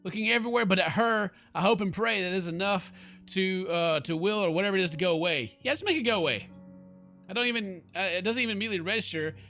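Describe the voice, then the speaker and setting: Male let's-play host, theatrical and joking, bright forceful tone, reading and riffing over background music. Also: high voice, fast. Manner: jokey, theatrical. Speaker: gaming video host. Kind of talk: game commentary and reading aloud. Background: game music.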